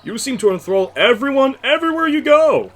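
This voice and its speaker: A man's voice: very high-pitched, sing-song, brisk, a bit bright, heavily acted. A man voicing a jolly, dapper little fairy-like creature with a grandiose showman manner in an audio drama. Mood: jolly, flattering. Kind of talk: audio drama character acting.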